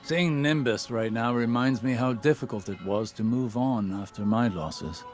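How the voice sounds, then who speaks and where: An actor playing a grieving but quietly hopeful mentor in a sci-fi game monologue, measured and reflective. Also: male voice, medium pitch, soft background music, close to an everyday voice. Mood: mournful, steadfast.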